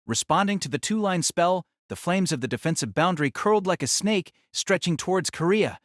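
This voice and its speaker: A man's voice: sits high, expressive, a little fast, dry recording, studio quality. A man reading scripted novel narration for an audio drama.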